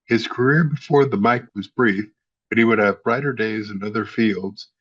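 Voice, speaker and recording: A man's voice: lowish voice, normal speed, a bit bright, breathy edge, highs cut off. A man narrating in a scripted style, reading encyclopedia-like history as a host.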